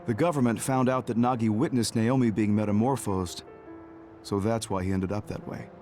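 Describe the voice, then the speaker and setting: A man playing a composed, guarded senior officer in a video game, talking in a measured, explanatory way. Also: on the low side, steady tone, a little mellow, faint music behind, lightly acted. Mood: grave. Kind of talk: English video game voice acting.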